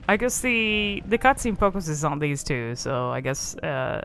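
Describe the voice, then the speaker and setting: A woman's voice: low-pitched, very animated, a little slow, fairly bright tone, soft background music. A woman giving casual, off-the-cuff commentary on a video game in a rambling, informal, amused manner.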